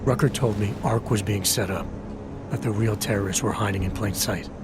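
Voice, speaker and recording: A man's voice: fairly low pitch, steady tone, a little fast, very noisy, close to an everyday voice. A man playing a grave, terse agent in a video game thriller, with a serious, matter-of-fact delivery. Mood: grave, urgent. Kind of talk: video game cutscene dialogue.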